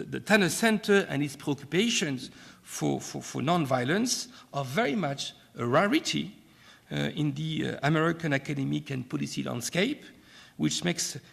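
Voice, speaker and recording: A man from France with a French accent, delivering an academic keynote lecture in a measured way, often pausing and repeating words. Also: a little high, creaky, husky, long reverb tail. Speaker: keynote speaker. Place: lecture hall.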